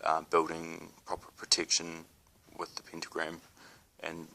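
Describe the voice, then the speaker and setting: A man's voice: low voice, completely flat delivery, a little slow, creaky, bright, forceful tone, rough recording. A young man with a New Zealand accent talks casually to camera, hesitant, with many ums and restarts. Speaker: spiritual vlogger.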